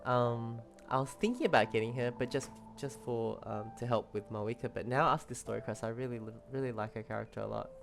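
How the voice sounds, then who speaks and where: A man's voice: mid-range voice, steady tone, fast, creaky, hardly any pauses. Gushing male game streamer over music. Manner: gushing, emotionally invested. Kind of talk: casual live gameplay reaction.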